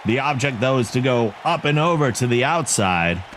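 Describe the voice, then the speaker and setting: A man giving excitable wrestling play-by-play commentary for a video game league, joking about himself between calls. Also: mid-range voice, moderate pace. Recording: game crowd and noise behind the voice. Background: video game arena crowd.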